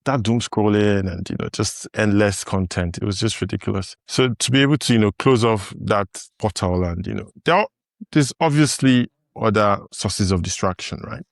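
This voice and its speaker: Low-voiced podcast host guy, casual, lots of "you know"s and restarts, dry close sound. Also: normal speed, gravelly, dry recording. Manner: thoughtful, lots of fillers and restarts, laughs easily. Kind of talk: casual conversation.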